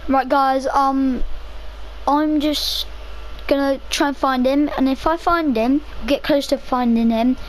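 A young woman chatting casually over her own gameplay, rambling to herself, her voice a little rough from a cough. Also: high voice, steady tone, slow, slight background noise, poor audio. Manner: chatty, rambling, self-talking. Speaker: gaming video creator.

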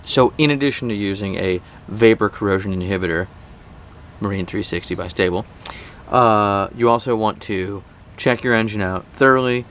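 Male vlogger, 30s, lowish voice, chatty and informal, faint outdoor noise behind. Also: normal speed, fairly bright tone. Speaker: boating vlog host. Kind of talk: casual sponsored talk to camera. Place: outdoors in a boat cockpit at a marina.

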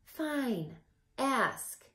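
A woman voicing a calm but exasperated storybook caterpillar who gives terse instructions. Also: high-pitched, very slow, reverberant, pitched below the performer's own voice, heavily acted. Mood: weary, curt.

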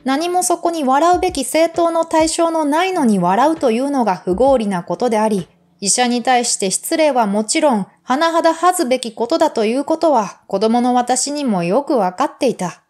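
A woman reading a literary essay aloud as scripted narration, in a measured, articulate recitation. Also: high voice, darkish tone. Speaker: audiobook reader. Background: background music.

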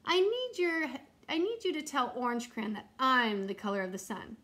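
Boastful, indignant crayon for a picture book, insistent, higher voice, woman reading. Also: very animated, moderate pace, fairly bright tone. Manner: insistent, self-important.

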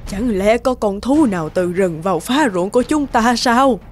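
A woman voicing a soft-hearted old farmer's wife in a cartoon, mildly grumbling. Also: a little high, animated, normal speed, soft background music, close to an everyday voice. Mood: worried. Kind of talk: animated cartoon dialogue.

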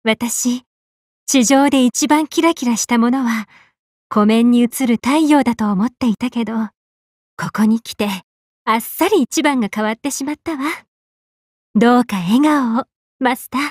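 A woman's voice: fairly high pitch, normal speed, dry recording, clean studio sound, close to an everyday voice. A woman voicing a tall, affectionate big-sisterly sun goddess in an anime game, swinging between bubbly and tender. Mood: tender, devoted. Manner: swings between excited exclamations and gentle, tender speech. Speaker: game character. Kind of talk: Japanese anime game voice acting.

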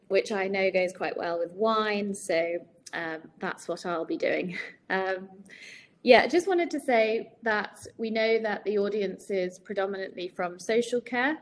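A woman in her forties hosting a webinar over a video call, chatty and relaxed, with many ums. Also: medium pitch, slight background noise. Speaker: webinar host. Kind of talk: casual webinar chat. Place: home room with shutters and plants. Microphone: video call laptop mic.

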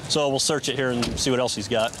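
A man's voice: medium pitch, steady tone. Male police officer, noisy roadside field audio. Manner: loud slow commands at a traffic stop. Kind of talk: field commands and casual police talk. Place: outdoors at night on a roadside.

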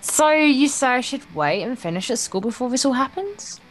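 A woman playing an excitable, ambitious schoolgirl in a visual novel, gushing and earnest. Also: sits high, normal speed, faint music behind, pitched above the performer's own voice, poor audio. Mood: earnest, insistent. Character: excitable, ambitious schoolgirl dreaming of world rule.

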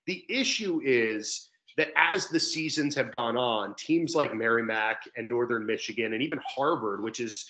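A man's voice: medium pitch, moderate pace, bright, forceful tone, rough recording. Talkative young man explaining on a sports show. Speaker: sports play-by-play commentator as guest. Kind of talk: conversational sports interview. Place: indoor room at home, video call.

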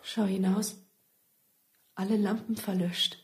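Frightened, breathy husky mother. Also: medium pitch, flat delivery, slow. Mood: uneasy. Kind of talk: radio drama acting.

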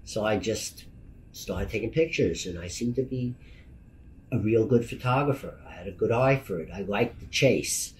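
A man in his fifties from Brooklyn speaks in a low voice with a New York accent. He gives a personal interview account in an emphatic, plain-spoken way, repeating phrases to press a point. There is faint noise behind him. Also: moderate pace, darkish tone, rough recording. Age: 50s. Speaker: news photographer and editor. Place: meeting room indoors.

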